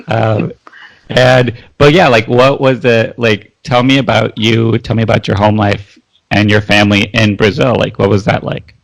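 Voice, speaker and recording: Gravelly-voiced man hosting a podcast. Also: on the low side, level tone, measured pace, poor audio. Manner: friendly, encouraging, conversational. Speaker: podcast host. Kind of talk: casual interview hosting.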